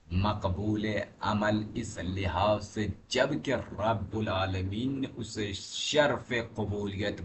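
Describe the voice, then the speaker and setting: Man doing a religious lesson, really slow n deliberate, emphatic teacher style, noisy recording. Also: medium pitch. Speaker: religious teacher reading and explaining narrations. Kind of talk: religious lesson, reading aloud with commentary. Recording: clearly noisy recording with background ambience.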